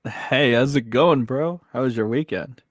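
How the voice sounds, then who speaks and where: A man's voice: mid-range voice, measured pace. A man co-hosting a casual stream, chiming in with short, easygoing remarks. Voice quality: gravelly. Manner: easygoing, short agreeable interjections. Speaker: co-host. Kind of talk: casual live-stream chat.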